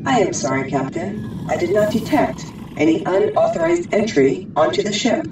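A woman's voice: on the low side, big pitch swings, moderate pace, noisy background. A woman voicing a polite, dutiful spaceship computer in a sci-fi audio drama, speaking formally. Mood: apologetic. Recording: heavily echoing, distant voice over music.

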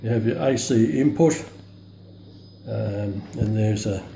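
A man giving a casual, unscripted product demonstration, halting and hesitant as he thinks aloud. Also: on the low side, creaky, mellow. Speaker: radio reviewer. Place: indoor room in a home. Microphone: handheld phone camera. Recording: roomy phone recording with background noise.

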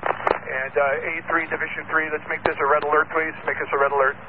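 A man with a slightly high voice reporting as a fire officer over a two-way radio. He speaks in measured, procedural phrases through a narrow, tinny signal with clear noise. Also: little pitch movement.

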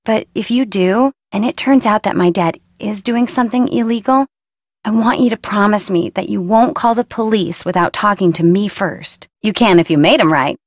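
A woman's voice: mid-range voice, normal speed, no echo, clean studio sound, lightly acted. A woman playing a young, blunt, stubborn surfer girl in a video game, talking in casual, flippant slang. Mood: breezy, businesslike.

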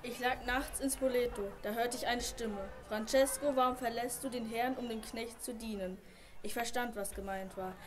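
Woman as idealistic young man, devout, earnest, highish voice, amateur radio drama. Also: steady tone, normal speed, noisy background, lightly acted. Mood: reflective. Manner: earnest, declamatory. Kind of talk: amateur radio play acting.

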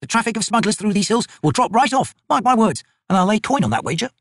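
A man voicing a gruff female soldier, brisk and sardonic in a raised voice. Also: high-pitched, sing-song, no room sound, studio quality, pitched above the performer's own voice, full character voice.

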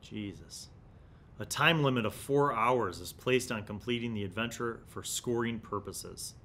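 A man's voice: medium pitch, unhurried, a bit bright, slight background noise. A man in his forties hosting a review, enthusiastic and emphatic, mixing casual commentary with reading aloud into a desk microphone in a small studio room. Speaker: host of a tabletop gaming magazine review series. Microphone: desk microphone close in front.

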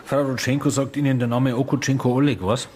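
An actor playing a blunt police detective who puts brief, pointed questions. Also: male voice, medium pitch, steady tone, quick pace, a little mellow, a little hiss or hum, lightly acted. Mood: probing. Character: blunt male police detective, junior partner.

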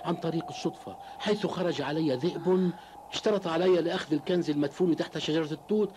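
A man's voice: fairly high pitch, fast talker, a little mellow, a little hiss or hum, close to an everyday voice. A man playing an idle, boastful young peasant husband in a cartoon dub, with a theatrical, exclamatory delivery.

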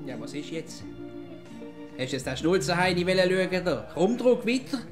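A grumbling Swiss German husband, played by a man in an old comic radio play, middle-aged and sarcastic, repeating himself in a high voice. Music plays clearly behind him. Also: sing-song, normal speed. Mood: mocking, annoyed. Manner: blustering, repetitive, sarcastic.

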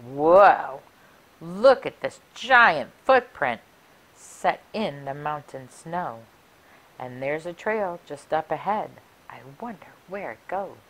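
A middle-aged woman reading a rhyming picture book aloud for children in an expressive, deliberate, sing-song way. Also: low-pitched, low-quality recording. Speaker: library story time reader. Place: small furnished room indoors. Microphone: clip-on lapel mic.

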